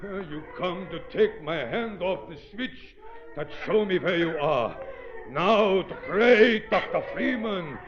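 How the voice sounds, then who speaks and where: Mad scientist turned brute: foreign accent, high-pitched, halting and slow, vain and gullible, vintage radio sound. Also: male voice, animated. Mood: gloating, menacing. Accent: foreign, non-native English. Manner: halting, broken English, gloating. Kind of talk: 1930s radio acting. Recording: noisy, muffled 1930s radio recording.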